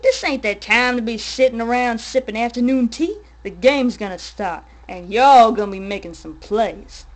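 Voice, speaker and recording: A woman voicing a brash, slangy, swaggering DJ character from a game, loud and hyped up. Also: on the high side, moderate pace, hardly any pauses, natural voice.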